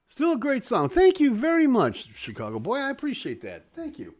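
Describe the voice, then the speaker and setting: A man's voice: extremely high pitch, wildly swooping pitch, normal speed. A man hosting a live stream, chatting casually with viewers in a self-deprecating way while losing his voice. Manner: chatty and self-deprecating, many fillers and asides. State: losing his voice, throat-clearing.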